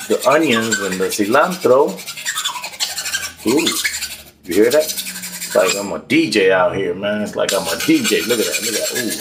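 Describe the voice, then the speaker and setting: Middle-aged guy cooking in his kitchen, cocky and joking, slangy, camera on the counter, noisy. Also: medium pitch. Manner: boastful, joking, streetwise slang. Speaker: home cooking vlogger. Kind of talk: casual cooking vlog with banter. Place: home kitchen, indoors. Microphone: camera at counter level. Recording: noisy kitchen with chopping clatter.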